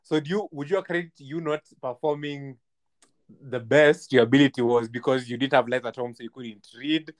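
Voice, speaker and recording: A man hosting a podcast, asking his guest relaxed follow-up questions. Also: mid-range voice, brisk. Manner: relaxed, prompting questions, mixes in Swahili. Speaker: podcast host. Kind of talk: casual interview chat.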